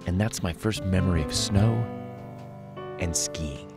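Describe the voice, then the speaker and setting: A middle-aged American man with a low voice narrates a personal documentary. He tells his story reflectively, leaning on key phrases with deliberate emphasis. Loud guitar music plays under his voice. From United States. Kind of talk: scripted documentary narration, reminiscing.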